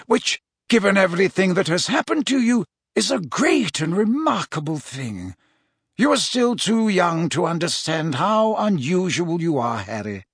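A man's voice: high voice, sing-song, a little slow, fairly bright tone, slightly rough, no echo, clean studio sound, pitched above the performer's own voice, full character voice. A man voicing an old, wise headmaster wizard in an audiobook, speaking formally and emphatically as an earnest, urgent mentor. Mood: earnest, measured.

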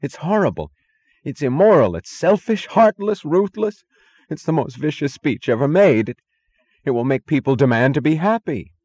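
Audiobook role: helplessly enraged moralizer, high, sobbing, horrified, performed by a male narrator. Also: very animated, normal speed, darkish tone. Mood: tearful outrage. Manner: wailing, repetitive. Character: horrified man wailing in helpless, moralizing rage.

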